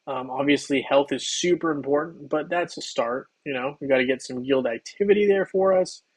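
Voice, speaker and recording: Chatty slightly hoarse male streamer. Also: mid-range voice, a little fast, rough recording. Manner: chatty, loose, with many ums and restarts. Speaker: game livestream host. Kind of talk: casual livestream chat while playing.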